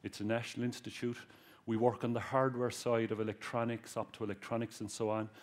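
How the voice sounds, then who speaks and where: A man's voice: mid-range voice, level tone, brisk. A man with a Cork Irish accent giving a public talk to students, joking and addressing the audience directly. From Ireland. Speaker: guest speaker, research engineer.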